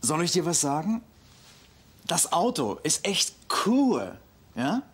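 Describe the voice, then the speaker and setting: A swaggering cool neighbour. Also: male voice, a little high, big pitch swings, takes it slowly, husky, exaggerated voice. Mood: smug. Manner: swaggering, teasing. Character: cocky young man, cool neighbour.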